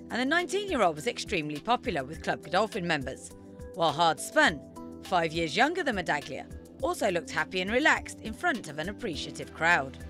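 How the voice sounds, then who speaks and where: A woman's voice: medium pitch, a bit bright. A woman in her thirties presenting and narrating a scripted television feature as a reporter.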